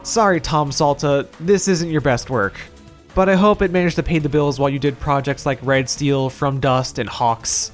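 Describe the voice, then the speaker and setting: A man's voice: fairly high pitch, moderate pace. A man narrating a scripted video game review in a wry, opinionated, casually profane manner, as the reviewer himself. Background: music.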